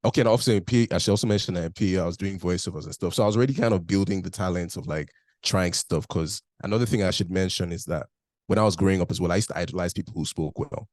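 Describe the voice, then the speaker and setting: A man's voice: deep voice, racing, a little mellow, no echo, studio quality. A young man from Nigeria with a mixed Nigerian, British and Canadian accent, telling his story candidly in a casual interview and leaning on "like" a lot. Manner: candid, storytelling, heavy use of "like". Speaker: guest.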